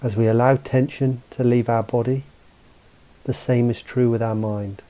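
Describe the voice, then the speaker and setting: Very dark, mellow-voiced man guiding meditation. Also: mid-range voice. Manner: calm, measured, instructive, with long pauses. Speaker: meditation guide and mental coach. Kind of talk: guided mindfulness meditation narration.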